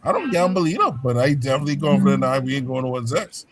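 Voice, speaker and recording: A man talking football as a fan guest over a call, speaking in an ordinary middle pitch. He sounds sure of himself and dismissive of the host's doubts, with clear crowd noise behind him. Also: sing-song, quick pace. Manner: confident, dismissive. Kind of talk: casual sports debate.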